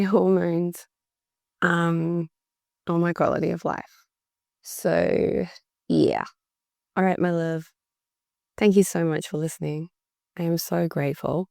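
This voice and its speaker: Woman podcast host, voice deep and worn from overuse, slow and dark, reflective delivery. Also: no room sound, clean studio sound. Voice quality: deep, gravelly. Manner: slow, reflective, repeats words for emphasis. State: voice worn out from talking a lot. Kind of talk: solo podcast monologue.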